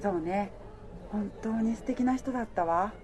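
A woman's voice: mid-range voice, moderate pace, darkish tone, close to an everyday voice. A woman playing a level-headed heroine in her thirties who also narrates her romance in a Japanese radio drama. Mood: wistful.

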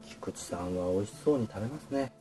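A man's voice: fairly low pitch, lively intonation, fast talker, warm tone, faint music behind, lightly acted. A man playing a polite, shy, earnest suitor in a romantic radio drama, with courteous and slightly bashful phrasing. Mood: earnest, flirtatious.

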